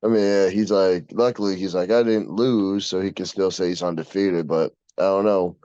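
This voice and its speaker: Low, mellow American guy, casual and slangy. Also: level tone, brisk. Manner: slangy, swearing, brash. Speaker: podcast co-host and wrestler. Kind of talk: casual chat. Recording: dull, roomy lo-fi sound.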